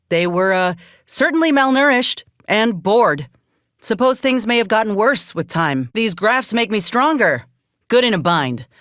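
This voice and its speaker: An actress playing a plucky, principled ex-soldier turned freelancer in a space-frontier video game, with plainspoken, folksy phrasing. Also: female voice, on the low side, dry recording, clean studio sound. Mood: dry, wry. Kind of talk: video game character acting.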